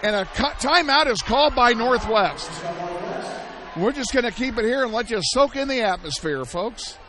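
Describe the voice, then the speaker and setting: A man calling a high school basketball game play-by-play, hoarse and losing his voice, shouting the big plays and chatting between them. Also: lively intonation, moderate pace. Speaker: play-by-play announcer. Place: high school gym broadcast. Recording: loud gym crowd and noise behind.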